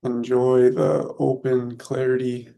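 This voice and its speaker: A man leading a guided meditation in short, unhurried, soothing phrases with long pauses between them. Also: medium pitch, completely flat delivery, gravelly, warm tone.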